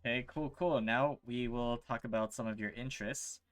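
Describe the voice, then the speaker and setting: A man hosting a podcast, asking interview questions in a brief, low-key way. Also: fairly even intonation, moderate pace, fairly bright tone, clear, resonant tone, soft background music. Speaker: podcast host.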